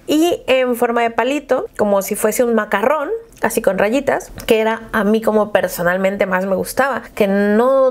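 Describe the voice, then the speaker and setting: A young woman with a Mexican Spanish accent chatting casually to camera as a video blogger, expressive, gushing and emphatic. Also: fairly high pitch. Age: young adult. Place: small furnished room at home with bookshelves.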